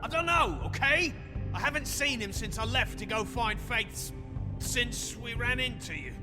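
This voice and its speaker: A man's voice: very high-pitched, lively intonation, measured pace. A man playing a defiant, foul-mouthed thug in a video game, agitated and combative. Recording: long hall reverb, music underneath.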